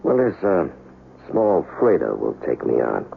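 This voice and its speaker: A tough, wary small-time crook in a vintage radio crime play. The voice is gravelly and swings expressively while staying terse and guarded. It comes through a thin, hissy old radio recording. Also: male voice, rumbling low, wildly swooping pitch, unhurried, phone-quality sound, full character voice. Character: wary small-time male fugitive crook from New York, shrewd.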